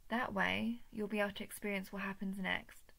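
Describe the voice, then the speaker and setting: A woman voicing a coldly menacing, falsely gentle alien parasite in an intimate horror audio roleplay, condescending, often slipping toward a breathy whisper. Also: mid-range voice, fairly even intonation, poor audio. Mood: calm menace. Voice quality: creaky, breathy with frequent whispering. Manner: measured, condescending, menacing coaxing.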